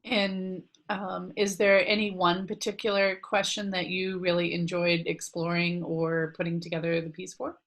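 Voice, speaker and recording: A woman's voice: lowish voice, flat delivery, normal speed, hardly any pauses, a bit bright. A middle-aged woman moderating a video-call interview, asking questions in a friendly, hesitant way with many ums. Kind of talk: webinar moderating.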